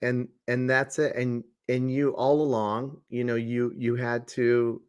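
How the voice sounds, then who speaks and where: A man's voice: medium pitch, flat delivery, unhurried, smooth. A man hosting a business podcast interview, talking with many restarts and fillers. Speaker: podcast host. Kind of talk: interview host.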